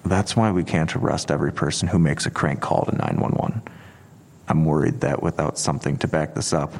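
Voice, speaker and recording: A man playing a patient, persistent police detective in an audio drama, measured and earnest, with a gravelly voice. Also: extremely low pitch, darkish tone, full character voice. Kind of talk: full-cast audio drama acting.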